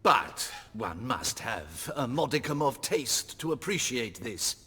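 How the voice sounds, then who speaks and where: Pompous, swooping, husky tailor. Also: male voice, medium pitch, sing-song, sharp tone, long reverb tail, exaggerated voice. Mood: offended, snobbish. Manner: pompous, prim, slow and affronted. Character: haughty, fussy male master tailor, prickly snob. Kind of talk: video game voice acting.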